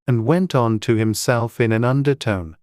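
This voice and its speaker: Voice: mid-range voice, moderate pace, a little mellow, no echo. Storybook narrator in a dry recording. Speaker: audiobook narrator.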